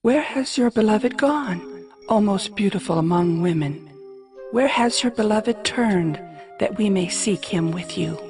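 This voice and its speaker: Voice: medium pitch, animated, measured pace, darkish tone, faint music behind. A performer playing a curious onlooker in a dramatized scripture reading, asking in a formal, inquiring manner. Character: curious onlooker questioning the lovesick bride.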